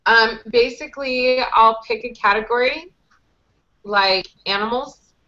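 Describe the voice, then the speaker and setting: A woman's voice: a little high, unhurried, bright, forceful tone. A woman in her thirties leading a casual online English lesson, patiently explaining game rules step by step over a webcam video call. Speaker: English conversation teacher. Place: small indoor room with whiteboard. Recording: dull lo-fi video call audio.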